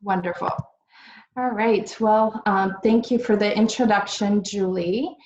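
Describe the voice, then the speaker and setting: A woman from Italy, giving a webinar presentation over a video call and filling pauses with frequent ums and uhs. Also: mid-range voice, fairly even intonation, darkish tone. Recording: dull lo-fi video call audio.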